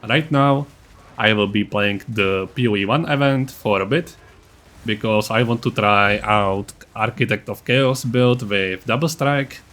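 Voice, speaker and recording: A man's voice: on the low side, a little slow, a bit bright. A man giving a scripted, matter-of-fact game guide narration, with a blunt, self-deprecating streak. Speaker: gaming video creator.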